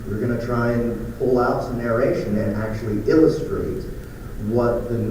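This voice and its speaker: A man's voice: fairly low pitch, noisy background. A man giving an informal conference presentation as an audio producer, conversational with joking asides, recorded from a distance in a large echoey room.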